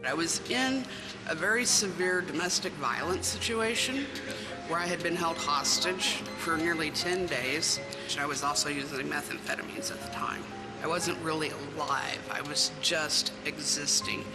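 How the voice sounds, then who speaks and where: A person speaking plainly and reflectively in a testimonial video. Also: female voice, low-pitched, normal speed, low-quality recording. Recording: video clip with music underneath.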